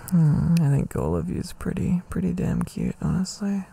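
A man doing an intimate boyfriend ASMR roleplay, affectionate and soothing, with a soft breathy voice that often drops to a whisper, close on the mic. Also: high-pitched, normal speed. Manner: affectionate, soothing, murmuring with hums. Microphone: close microphone.

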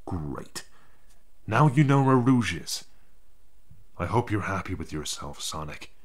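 A man's voice: low voice, very animated, slow, full character voice. A man voicing an irritable, guarded young chameleon ninja detective in a fan audio drama, stern and clipped. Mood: grudging.

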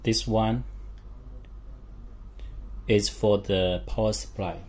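Slow, halting man giving a demonstration. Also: on the low side. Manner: halting, broken into short phrases. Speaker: product demonstrator. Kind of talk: hands-on instructional demonstration. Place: small indoor room with a work table. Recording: steady background room noise.

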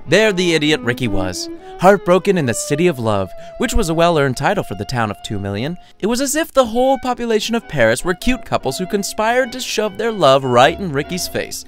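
A man with a slightly high voice narrates a comic short story. His delivery is lively and theatrical, with sardonic, chatty asides to the listener. Soft music plays behind him. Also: fairly quick, few pauses. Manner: irreverent, sardonic, foul-mouthed. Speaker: writer reading his own short story.

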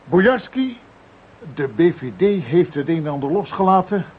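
An actor playing a composed, businesslike police commissioner in a radio play, speaking with measured authority. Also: male voice, on the high side, sing-song, slightly rough. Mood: serious, businesslike. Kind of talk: Dutch radio play acting. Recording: thin narrow-band radio recording with hiss.

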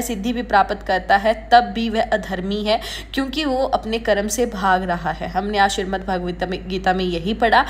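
Young woman doing a chatty vlog voiceover of her day, bit high voice, recorded on a phone at home. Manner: chatty, relaxed, mixing in English words. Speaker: vlogger preparing for government exams. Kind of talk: day-in-the-life vlog voice-over.

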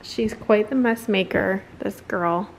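Female home vlogger, 30s, casual and chatty, mid-pitched, slight room noise behind. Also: slow, gravelly, poor audio. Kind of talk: casual chatty vlog talk. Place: indoors in a house living area.

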